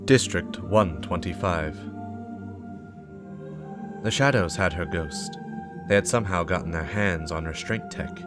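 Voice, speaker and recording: Deep-voiced man narrating a dramatic story. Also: unhurried, long pauses. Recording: music behind the voice. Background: game soundtrack music.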